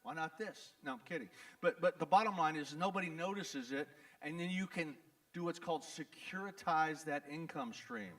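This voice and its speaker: A man's voice: on the high side, moderate pace, distant mic. A man with a Texas accent, an attorney speaking bluntly and folksily to residents at a town hall, with jokes and a repeated "the bottom line is". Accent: Texan. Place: event venue in front of an audience.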